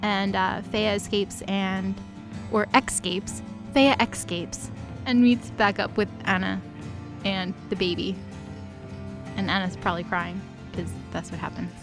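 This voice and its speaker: A woman chats casually at a middle pitch, joking as she goes in loose, slangy sentences. Faint music plays underneath. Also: steady tone, a little slow. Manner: joking, slangy, uses like constantly. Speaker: co-host reacting to a story recap. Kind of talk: casual comedic chat.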